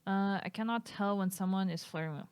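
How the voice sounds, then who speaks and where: A woman's voice: medium pitch, brisk, clear, resonant tone, no echo. A young woman streaming, reading test questions aloud and answering them in a casual, hesitant way, often laughing. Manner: reads items aloud then answers with hesitant, giggly asides; self-describes as flat and monotone.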